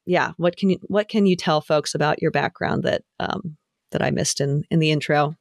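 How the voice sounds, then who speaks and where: Relaxed interviewer, affirming, dry close mic. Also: mid-range voice, normal speed, gravelly, no echo. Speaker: podcast host. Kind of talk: conversational interview.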